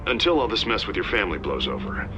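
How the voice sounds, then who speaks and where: An actor playing a middle-aged political candidate who talks hesitantly and apologetically, pleading for support. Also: male voice, lowish voice, sing-song, fast, over music. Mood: uneasy.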